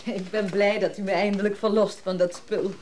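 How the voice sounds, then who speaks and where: A woman's voice: mid-range voice, flat delivery, normal speed, darkish tone, slight background noise, lightly acted. An actress playing a thrifty, wary middle-aged woman in a naturalistic radio drama, chatty and dismissive. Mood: chatty, practical. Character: thrifty, wary middle-aged woman selling her late uncle's old instrument.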